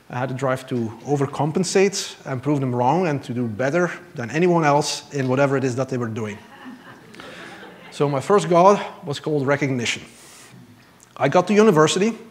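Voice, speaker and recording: A man in his thirties from Belgium, telling his personal faith story from a church stage in an earnest, lightly self-deprecating way, speaking into a lapel mic. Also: mid-range voice. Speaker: church member giving testimony. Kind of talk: personal testimony from a pulpit.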